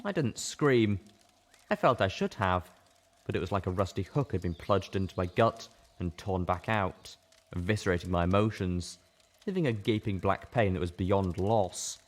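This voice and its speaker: A man with a low voice reads fiction aloud. His narration is dramatic and intense, with very lively, expressive shifts of pitch. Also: moderate pace. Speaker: audiobook reader. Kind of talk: dramatic first-person story narration.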